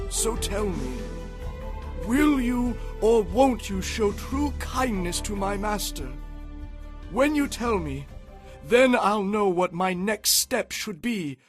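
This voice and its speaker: A man playing an earnest, dutiful servant envoy in a dramatized audio Bible, speaking formally and deliberately. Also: high voice. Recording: music behind the voice.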